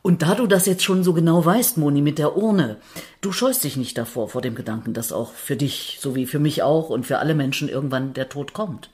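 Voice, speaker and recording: A woman's voice: low voice, animated, fairly quick, a little mellow. A woman hosting a conversational interview in an informal, reflective way. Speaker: interviewer, podcast host.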